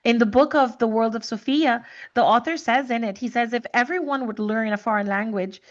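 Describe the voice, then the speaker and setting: Female podcast host from Trinidad and Tobago, higher-pitched voice, searching conversational delivery, slightly muffled audio. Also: fairly quick. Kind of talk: reflective conversational podcast talk.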